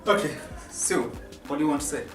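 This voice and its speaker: A man's voice: fairly high pitch, fairly quick, roomy sound, far from the mic, over music. A young Kenyan man whose first language is not English, co-hosting a casual vlog in an easygoing way and often laughing. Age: young adult. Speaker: channel co-host, student. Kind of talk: casual chat to camera.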